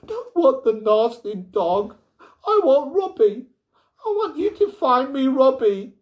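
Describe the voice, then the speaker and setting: A man voicing an excitable, tearful little girl from a storybook, pleading and shrill. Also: unhurried, exaggerated voice. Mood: wailing, fierce. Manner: childlike, pleading, fierce when upset. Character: tearful, excitable little girl who adores her robot. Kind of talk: storybook character reading.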